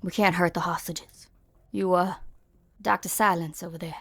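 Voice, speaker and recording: A woman's voice: fairly low pitch, steady tone, moderate pace, a bit bright, husky, dry recording. A woman playing a stern, bitter police officer in an amateur game fan dub, with a cutting, sarcastic delivery. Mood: commanding, tense. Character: stern, bitter policewoman confronting an old friend.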